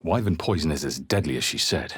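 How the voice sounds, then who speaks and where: An actor playing a wary, wry video game adventurer who makes short, dry, musing remarks to himself. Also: male voice, very deep voice, animated, fairly quick, a bit bright, husky, no room sound, heavily acted. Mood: curious, cautious.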